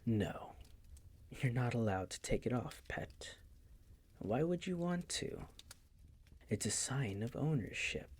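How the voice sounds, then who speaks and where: A man playing a possessive, condescending vampire master in an intimate roleplay audio, teasing in a breathy, gravelly, often whispered voice. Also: mid-range voice, expressive. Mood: possessive, amused. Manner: slow, teasing, condescending purr.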